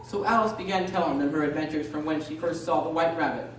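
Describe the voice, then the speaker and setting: A woman playing a composed, measured storybook narrator, reading scripted narration for a radio drama. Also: deep voice, natural voice. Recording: very echoey room, distant mic, hissy noise.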